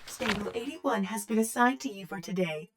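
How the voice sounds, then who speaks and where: A woman's voice: mid-range voice, lively intonation, moderate pace, creaky, far from the mic, background noise. A woman playing a rule-bound female mainframe computer in an audio drama, clipped and procedural. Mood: formal, procedural.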